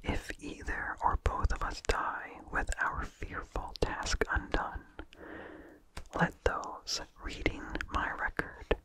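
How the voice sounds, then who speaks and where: A person reading a vintage horror story aloud for ASMR in a hushed, breathy whisper with slight mouth sounds, slow and deliberate. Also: rough recording.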